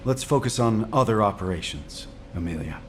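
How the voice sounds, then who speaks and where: An actor playing a serious, measured military commander with an authoritative, deliberate manner in a video game. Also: male voice, fairly low pitch, lively intonation, a little hiss or hum, lightly acted. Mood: grave, measured. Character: grave, measured male military commander weighing alliances. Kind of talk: video game cutscene acting.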